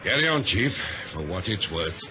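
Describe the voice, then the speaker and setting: Solemn ship's captain, noisy old radio. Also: male voice, low voice, very animated, normal speed. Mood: grim, resigned. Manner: solemn, formal, commanding. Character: grave male ship's captain facing disaster. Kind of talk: old-time radio drama acting. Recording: noisy vintage radio drama recording.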